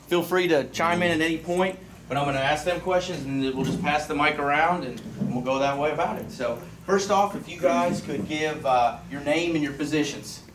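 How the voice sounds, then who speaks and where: A man moderating a panel at a club meeting, brisk and organized. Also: on the high side. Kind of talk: hosting a panel Q&A. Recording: echoey room, far from the mic, noisy.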